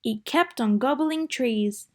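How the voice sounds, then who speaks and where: Slow, high-voiced woman reading a storybook. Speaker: children's story reader. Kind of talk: read-aloud storybook narration.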